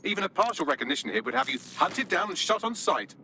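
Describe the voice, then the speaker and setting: A man voicing a witty, dry, unflappable AI assistant in a video game, speaking in a crisp, formal, sardonic way. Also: high voice, lively intonation, fast talker, noisy background. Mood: dry, composed.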